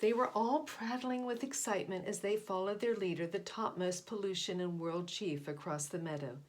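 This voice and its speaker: Woman, medium pitch, children's read-aloud, expressive emphasis, asides to listeners. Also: moderate pace. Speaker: volunteer picture-book reader.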